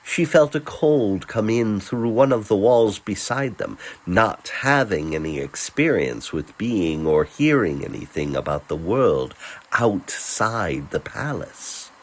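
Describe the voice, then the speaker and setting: A man tells a fantasy romance in dramatic scripted narration. His voice is husky and slightly low, moving expressively through tender, theatrical phrases with unhurried pauses. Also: sing-song. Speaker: storyteller.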